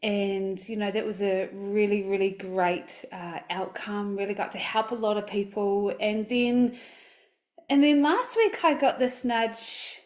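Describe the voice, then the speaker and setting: A woman's voice: medium pitch, unhurried, darkish tone. A woman in her forties, a life coach, talking casually and upbeat on a livestream, with lots of fillers and restarts. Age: 40s.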